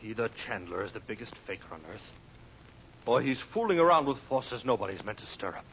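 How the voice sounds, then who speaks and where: A man's voice: medium pitch, very animated, a little fast, a bit bright, lo-fi sound. An actor playing a nervy, defensive henchman in old radio drama style, earnest, dramatic and pleading. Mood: agitated, insistent. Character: rattled, defensive henchman reporting to his boss. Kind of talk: 1930s-40s radio drama acting. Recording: noisy, band-limited old radio recording.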